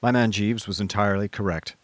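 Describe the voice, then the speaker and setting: A man playing a chatty gentleman narrator in a radio drama, telling his story in a conversational, old-fashioned way. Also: fairly low pitch, steady tone, a little fast, dry recording, natural voice. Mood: wry, reflective.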